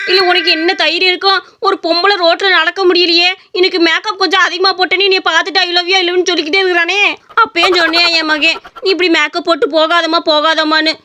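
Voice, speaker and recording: A woman's voice: very high voice, noisy background. A woman playing a vain old village mother in a comic skit, dramatic and full of complaint. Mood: indignant. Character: vain, melodramatic old mother fending off suitors.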